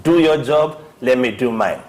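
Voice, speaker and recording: A man presents a scripted TV segment. He talks at a medium pitch and sounds emphatic and animated, scolding his viewers as he goes. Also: normal speed.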